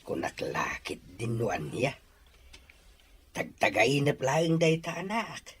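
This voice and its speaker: A man's voice: medium pitch, big pitch swings, slow pace, a bit bright, breathy edge, heavily acted. Man playing a caring older father, swooping intonation. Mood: soothing. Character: caring, devout older father soothing his son. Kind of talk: Ilocano radio drama acting.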